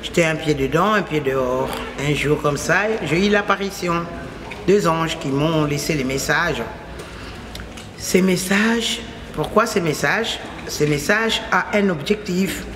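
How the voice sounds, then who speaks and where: A woman's voice: lowish voice, noisy background. A woman from Kinshasa with a Congolese French accent, whose first language is Lingala, giving an emphatic religious testimony and restating her phrases in repetitive lists. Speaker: testifying believer.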